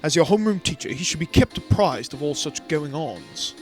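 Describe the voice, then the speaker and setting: A formal, courteous male school director from a video game, read aloud by a man. The reading is stiff and plain, slightly higher than a typical male voice. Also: big pitch swings, brisk, faint music behind.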